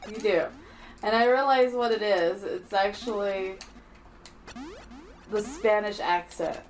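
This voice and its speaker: A woman's voice: mid-range voice, slow pace, soft background music, low-quality recording. A woman chatting casually and teasingly while watching a retro game being played. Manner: playful, teasing, giggly. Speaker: co-host watching a playthrough. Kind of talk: casual gaming chat.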